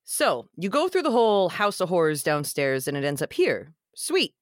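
A woman acts out a wisecracking young man in a comedy script read. The delivery swings widely in pitch and sits slightly low. The manner is wry and self-deprecating, with loyalty under the jokes. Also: big pitch swings, normal speed, dry recording, studio quality. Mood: upbeat, joking.

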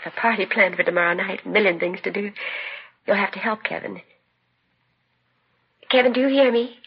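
A woman's voice: medium pitch, fairly even intonation, moderate pace, breathy edge. An actress playing a domineering, fussing middle-aged mother in a 1950s radio drama. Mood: brisk, controlling. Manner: fussing, imperious, cooing over her son. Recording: muffled, noisy 1950s radio broadcast with clicks.

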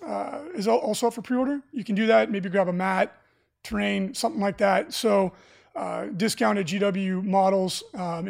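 A raspy-voiced man hosting a casual live stream for a game store, talking enthusiastically with lots of fillers. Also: high-pitched. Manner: enthusiastic, rambling with many fillers. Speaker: livestream host for a game store.

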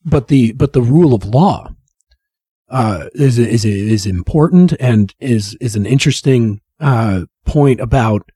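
A man's voice: medium pitch, mellow, breathy edge, no room sound, studio quality. A man co-hosting a casual podcast, rambling and cursing, losing his voice to a cold. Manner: rambling, profane, restarts and drawn-out phrases. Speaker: podcast co-host.

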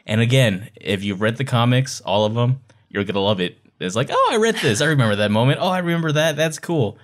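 A man's voice: medium pitch, lively intonation, fairly quick. A man co-hosting a book club podcast, chatting casually and giving his opinions with emphasis. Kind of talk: casual book discussion chat.

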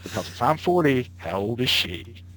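A man's voice: mid-range voice, big pitch swings, normal speed, a bit bright. Late-30s man rambling over a call. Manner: rambling, lots of uh and restarts. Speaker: guest. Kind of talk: casual conversation. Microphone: internet call.

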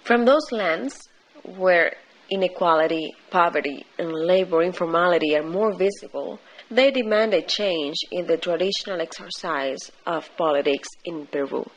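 A woman with a fairly low voice reads a scripted news commentary. Her delivery is formal and deliberate. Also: low-quality recording. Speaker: news reader.

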